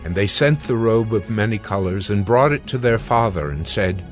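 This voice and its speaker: A man narrating scripture in a measured, solemn storytelling style. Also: lowish voice, lively intonation, soft background music. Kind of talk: scripted dramatic Bible narration.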